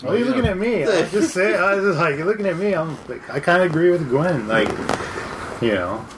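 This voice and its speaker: A man chatting on a podcast in a deadpan, joking way. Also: high-pitched, a little fast, slight background noise, rough recording. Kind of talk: casual chat.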